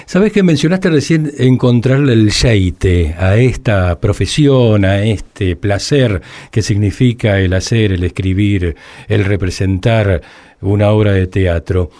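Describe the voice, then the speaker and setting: Male radio interviewer, Argentine. Slow, measured delivery, dark mellow tone, thoughtful questioning. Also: on the low side.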